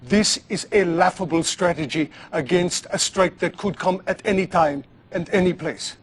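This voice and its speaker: An actor playing a solemn, boastful propagandist war reporter in a game, declaiming a scripted TV news report. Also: male voice, high voice, moderate pace, breathy edge. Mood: defiant, proud.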